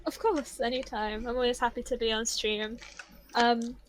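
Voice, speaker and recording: A woman's voice: on the high side, little pitch movement, moderate pace, a little hiss or hum. A woman whose first language is Polish, chatting as a streamer guest on a podcast, shy and rambling with many fillers and restarts. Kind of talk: casual podcast chat.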